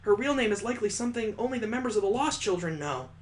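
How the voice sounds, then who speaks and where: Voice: mid-range voice, lively intonation, fast talker, pitched above the performer's own voice, natural voice. A let's player voicing a prim, knowledgeable schoolgirl who speaks formally in a visual novel. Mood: measured, serious. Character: prim, knowledgeable schoolgirl acting as assistant teacher.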